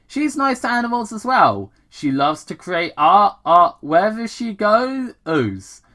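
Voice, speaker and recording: A playful young creature hero, as a fan-fiction reader puts it on. The voice is bright and swings widely in pitch. The delivery is earnest and expressive, telling a secret life in a story. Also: slow. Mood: fond.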